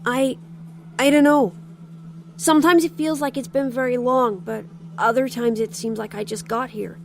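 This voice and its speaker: A woman's voice: fairly high pitch, fairly even intonation, vocal fry, a little hiss or hum. A woman voicing a dazed, innocent captive child, hesitant and puzzled, in an audio drama. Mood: puzzled, wistful. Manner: hesitant, halting.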